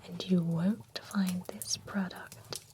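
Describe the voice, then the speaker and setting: A woman's voice: lowish voice, fairly even intonation, slow. A woman whispering in a chatty, playful way as a pampering spa stylist in an ASMR roleplay. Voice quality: mostly unvoiced whisper.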